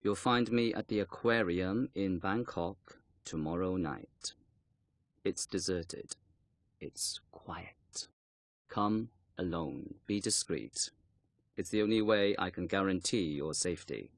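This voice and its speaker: Low-voiced, formal military intelligence colonel. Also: male voice, no echo. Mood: cryptic, guarded. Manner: formal, deliberate, cryptic. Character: measured, guarded male Chinese military intelligence colonel. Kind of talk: game cutscene acting.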